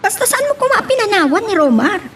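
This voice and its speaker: A woman's voice: very high voice, sing-song, quick pace, a bit bright, a little hiss or hum, heavily acted. A woman with an Ilocano accent acting an earnest, reproachful sister in a love drama. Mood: reproachful, urgent.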